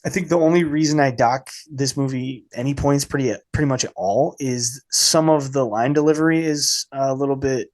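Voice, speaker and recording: Male podcast host, casual film chat, slight head cold, halting rambling delivery, medium pitch. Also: fairly even intonation, a little mellow.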